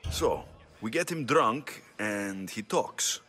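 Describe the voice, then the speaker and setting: An actor playing a blunt, wry young mercenary in a video game. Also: male voice, lowish voice, animated, slow, fairly bright tone, full character voice. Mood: matter-of-fact. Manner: blunt, direct. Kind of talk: video game cutscene acting.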